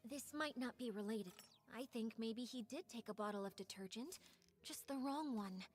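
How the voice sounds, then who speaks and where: A woman's voice: on the high side, moderate pace, a little mellow, soft background music, natural voice. A woman voicing a polite, composed young female investigator with an analytical manner in a video game. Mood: considering.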